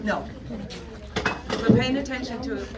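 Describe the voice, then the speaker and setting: High-voiced woman announcing at a school event. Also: unhurried, very noisy. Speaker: school teacher hosting a student recital.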